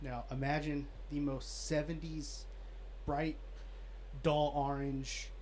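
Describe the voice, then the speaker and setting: A man's voice: a little high, flat delivery, slow pace, a little mellow. A man, a guest telling a story and building suspense as he goes. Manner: builds suspense, says "listen". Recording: faint background noise.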